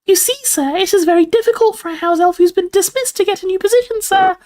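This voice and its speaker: A woman voicing an eager, servile little male house elf in a storybook, with a squeaky, shrill voice and broken grammar, speaking of himself in the third person. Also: fast, a little mellow. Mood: cheerful, polite.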